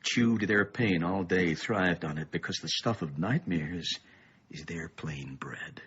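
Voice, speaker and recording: An actor playing a weary middle-aged, bookish father in a radio play, grave and thoughtful, with a husky voice and a measured, ominous way of explaining. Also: deep voice, fairly bright tone, slight background noise. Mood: brooding. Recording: dull, muffled lo-fi radio play.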